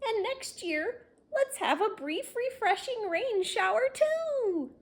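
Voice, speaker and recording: Elated female storybook monster, very high, exclamatory and cheering played by a woman reader. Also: expressive, slow, full character voice. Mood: gleeful. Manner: very exclamatory, sing-out announcing.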